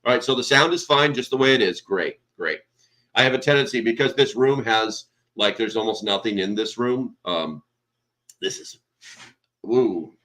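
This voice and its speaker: American man host, bright rambling chat. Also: medium pitch, lively intonation, normal speed. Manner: rambling, reads chat names aloud. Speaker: livestream host. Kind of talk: casual livestream chat. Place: sparse, nearly empty room. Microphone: small desk microphone.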